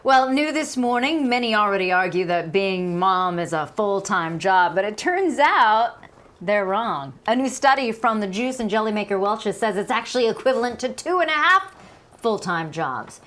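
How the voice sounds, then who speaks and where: A woman's voice: mid-range voice, big pitch swings, moderate pace, fairly bright tone. A woman anchoring morning news, reading stories and chatting casually.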